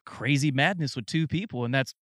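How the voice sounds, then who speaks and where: A man's voice: medium pitch, fairly quick, fairly bright tone, a little hiss or hum. Easygoing man in his late thirties. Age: 30s. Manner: easygoing, storytelling, self-correcting. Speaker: interviewer. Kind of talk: casual interview chat. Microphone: studio mic.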